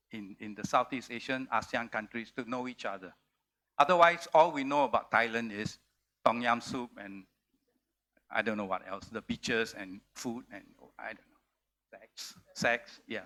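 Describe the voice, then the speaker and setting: A man's voice: medium pitch, bright, forceful tone. A man from Singapore, a publisher speaking earnestly on a festival panel stage. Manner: earnest, emphatic, repeats words. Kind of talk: panel discussion.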